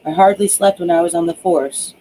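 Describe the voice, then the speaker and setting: A man's voice: high voice, fairly even intonation, brisk, dark tone, slight background noise, close to an everyday voice, rough recording. A man voicing a weary, protective, sardonic ex-cop in an audiobook, earnest and plain-spoken. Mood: weary, candid.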